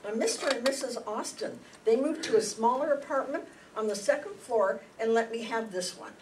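A woman's voice: fairly high pitch, animated, moderate pace, a little mellow, natural voice. An older woman playing an admiring schoolteacher in an amateur radio play. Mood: helpful. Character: gushing, admiring female schoolteacher. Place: small hall stage, indoors. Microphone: stand microphone, recorded by far camera. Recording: echoey, noisy hall from distance.

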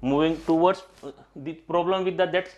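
A man's voice: on the high side, steady tone, normal speed, a little mellow, dull audio. A middle-aged man teaching an exam-prep lecture in a studio classroom, repeating key points and often addressing his students directly. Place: studio classroom at a digital whiteboard.

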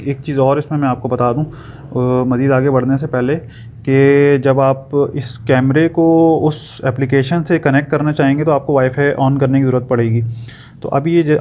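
Male demo presenter, middle pitch, informal explanatory delivery, slightly noisy indoor phone recording. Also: darkish tone. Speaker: product reviewer giving a tutorial. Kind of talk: informal step-by-step product demonstration. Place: indoor room, tabletop demonstration. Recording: dull sound with background noise.

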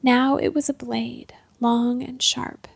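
High creaky-voiced woman narrating a story. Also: flat delivery, slow pace, no echo.

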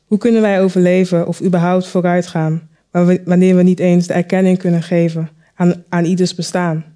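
A woman storyteller performing a spoken word piece, with deliberate, dramatic phrasing and rhetorical questions. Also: on the low side, flat delivery, dark tone. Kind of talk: spoken word recitation, poetic monologue.

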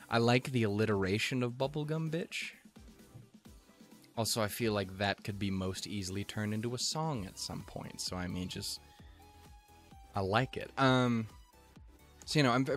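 A man from Montana giving rambling, profane, jokey reaction commentary on an anime episode, with sudden goofy pitch jumps. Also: expressive, moderate pace, pauses often, faint music behind.

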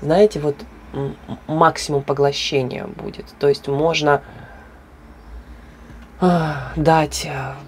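A woman with a hoarse voice, chatting casually and animatedly in a hobby plant vlog, with laughs and fillers. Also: deep voice, steady tone, takes it slowly, pauses often, darkish tone, slight background noise. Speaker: orchid grower.

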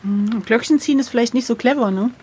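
Hohe Frauenstimme, locker und verspielt. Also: female voice, fairly high pitch, fast talker, darkish tone, slight background noise. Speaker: co-host, player of an adventure gamebook. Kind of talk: casual playful chat.

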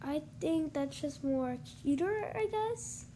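Young woman, congested stuffy voice, high-pitched, unhurried, noisy background. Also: animated, mellow. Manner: chatty, hesitant, lots of ums and likes. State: congested from allergies. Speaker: gaming YouTuber. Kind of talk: casual game-build tour voice-over.

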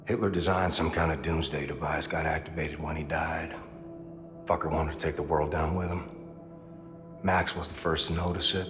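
A middle-aged soldier, a father worn down by guilt. His gravelly voice sits very low, and he speaks in grave, halting phrases. It is video game drama, with long reverb and a clear musical score behind him. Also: fairly even intonation, over music. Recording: cavernous reverb, loud score underneath.

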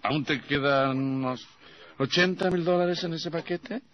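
An actor playing a mocking, greedy, menacing gangster boss in a radio play, with a drawling, taunting delivery. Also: male voice, fairly high pitch, unhurried, slight background noise, lightly acted. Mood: sly, menacing. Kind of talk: radio theatre acting. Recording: dull vintage radio recording.

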